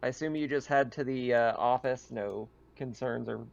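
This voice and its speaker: A man casually narrating a tabletop roleplaying game as game master, describing scenes in a halting, improvised way. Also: mid-range voice, vocal fry, dull audio.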